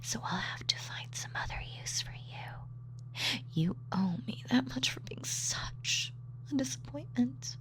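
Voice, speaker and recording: A woman playing a sadistic, gloating female supervillain in a scripted roleplay audio, taunting and savoring her words theatrically. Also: mid-range voice. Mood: contemptuous, menacing. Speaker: voice actor.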